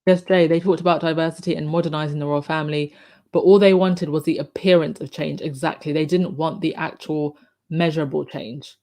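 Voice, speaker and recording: A woman with a croaky voice hosting a casual live stream, chatting and reading out viewer comments with many ums and restarts. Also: low voice, fairly even intonation, a little fast, warm tone.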